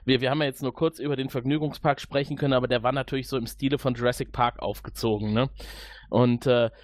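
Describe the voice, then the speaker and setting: A man's voice: mid-range voice, steady tone, fast talker, no room sound. Wry man chatting, dry close recording. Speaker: podcast co-host. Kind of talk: casual chat about a TV series.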